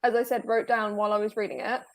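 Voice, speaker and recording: A woman's voice: a little high, little pitch movement, fast. A woman hosting an online book club discussion, chatting casually with lots of fillers and restarts. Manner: chatty, rambling with many fillers. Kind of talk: casual video call chat.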